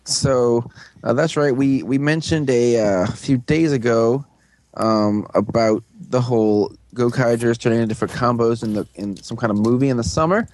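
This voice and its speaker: A man of ordinary pitch reads fan news on a casual podcast. He talks loosely, full of "uh" and "um", and throws in crude jokes. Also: normal speed, darkish tone, no echo. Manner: joking, playfully crude, many fillers. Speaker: podcast co-host.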